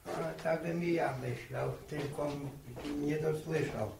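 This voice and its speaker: Creaky, slow old village grandfather. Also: a little high, fairly even intonation. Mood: genial, nosy. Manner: folksy, wheedling, drawn-out. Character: genial, nosy old village grandfather, hard of hearing. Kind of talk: 1960s Polish radio drama acting. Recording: noisy, echoey old radio recording.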